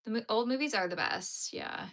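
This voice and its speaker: A woman's voice: fairly high pitch, normal speed, a bit bright. A young Canadian woman with a Canadian accent, chatting casually on a livestream, answering viewers' comments while she crochets.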